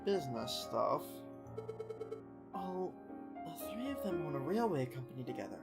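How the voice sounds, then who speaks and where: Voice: medium pitch, very animated, moderate pace. A streamer with a nasal, stuffed-up voice playing a sheltered, chatty young aristocratic woman in a video game. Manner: naive, chatty. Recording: music in the background.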